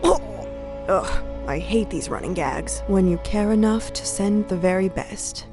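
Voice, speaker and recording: A woman voicing a spirited female elf in a video game, expressive and wry. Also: medium pitch, slow, over music. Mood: joking. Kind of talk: video game character acting.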